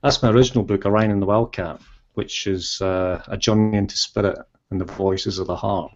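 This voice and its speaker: A Scottish man in his fifties with a lowish voice talks casually as an interview guest. He rambles and hesitates, filling gaps with ums and restarting sentences. The audio comes over a muffled, lo-fi video call. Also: fairly even intonation, a little fast, a little hiss or hum. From Scotland. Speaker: author guest.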